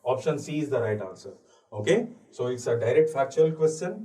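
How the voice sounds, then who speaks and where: A man from India with a Telugu accent lecturing as an exam coaching teacher, switching between Telugu and slow, deliberate English. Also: mid-range voice, dark tone. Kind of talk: lecture walking through exam questions. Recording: echoey room, distant mic.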